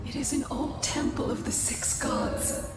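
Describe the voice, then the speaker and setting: High female spirit messenger, earnest, formal, devout, game cutscene, very loud music behind. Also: wildly swooping pitch, a little slow, heavily acted. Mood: earnest, reverent. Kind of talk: dramatic video game voice acting. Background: loud game score.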